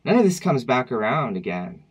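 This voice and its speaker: A man's voice: medium pitch, sing-song, normal speed, slightly rough. Reflective, wry man in his thirties. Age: 30s. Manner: reflective, wry, conversational with frequent restarts. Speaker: vlogger. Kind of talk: personal vlog monologue. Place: dim room at home, indoors.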